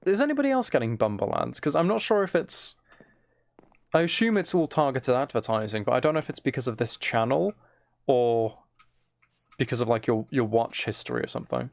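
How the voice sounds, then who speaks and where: A man livestreaming a game with a flat, mellow voice, rambling casually and softly to his viewers in a low-key way. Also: a little fast, creaky, no room sound. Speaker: live streamer. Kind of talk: casual gaming livestream chat, soft ASMR-like. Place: desk at home, live stream.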